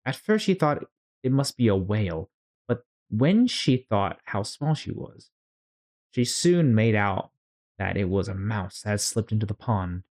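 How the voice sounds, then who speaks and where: Animated male storyteller. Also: fairly low pitch, measured pace. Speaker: storyteller and channel host. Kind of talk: read-aloud children's story narration.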